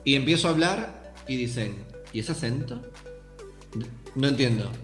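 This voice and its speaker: Young Canarian male author, mid-pitched, thoughtful interview guest, roomy sound. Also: animated, moderate pace, a bit bright, faint music behind. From Canary Islands, Tenerife. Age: young adult. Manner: thoughtful, addresses listeners directly. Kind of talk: reflective interview answers.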